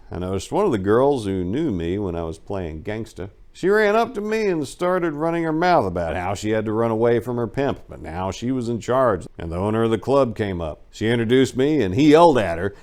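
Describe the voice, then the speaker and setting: A man giving a dramatic first-person reading of a memoir, telling it in a wry, animated storyteller's way. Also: medium pitch, fairly quick, hardly any pauses. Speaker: audiobook narrator.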